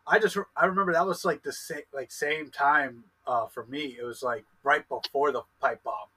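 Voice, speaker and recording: A man's voice: medium pitch, normal speed, bright, forceful tone, husky, rough recording. A man in his early twenties co-hosting a podcast, asking questions eagerly and stumbling over restarts. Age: 20s. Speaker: podcast co-host, wrestler. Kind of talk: casual podcast interview.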